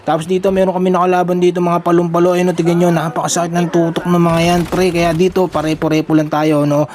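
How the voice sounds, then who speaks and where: A man's voice: high-pitched, level tone, fast, a little mellow, slight background noise. A young man from the Philippines, casually rambling through a gameplay voice-over and calling his viewers buddies. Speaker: mobile gaming content creator.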